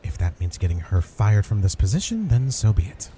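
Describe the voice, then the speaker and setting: Male actor as sarcastic do-gooder hero for comedy ASMR roleplay; deep, mellow, earnest, slight background noise. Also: sing-song, brisk. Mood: earnest warning. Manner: sarcastic, talks straight to the listener. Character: earnest, wry male superhero griping at a cafe.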